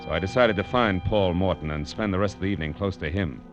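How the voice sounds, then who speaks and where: Guy doing old radio gumshoe, real deep voice, dry and wry, muffled old recording. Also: fast, lightly acted. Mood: wry, hard-boiled. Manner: hard-boiled, wry, clipped. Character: tough, wry male private detective narrating his case. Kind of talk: 1940s radio detective drama acting. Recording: dull old radio recording, music behind.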